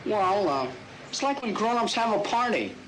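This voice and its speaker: An actress in an old film playing a patient adult woman who gently explains things to a child. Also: mid-range voice, big pitch swings, moderate pace, background noise, lightly acted.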